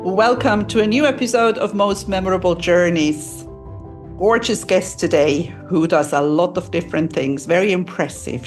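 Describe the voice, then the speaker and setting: A woman hosting an interview podcast who talks in an effusive way and heaps praise on her guest. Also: on the low side. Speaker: podcast host.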